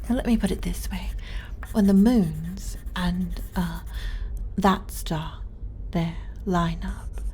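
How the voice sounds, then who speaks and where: A woman playing an earnest, bookish xenobiologist in an ASMR sci-fi roleplay, explaining in a hesitant, soft, whispery, slightly flustered academic way. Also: mid-range voice, creaky, a little hiss or hum, rough recording.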